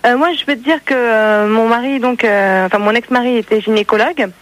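A man's voice: very high voice, fairly even intonation, brisk, a bit bright. A man voicing a chatty female caller who keeps trailing off with little fillers and gets defensive when doubted. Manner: insistent, defensive, trailing off with "quoi". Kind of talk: prank call-in banter.

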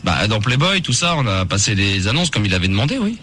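A man's voice: on the low side, very animated, fast, background noise. A man hosting a live call-in radio show with teasing, mock-serious banter. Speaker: radio host.

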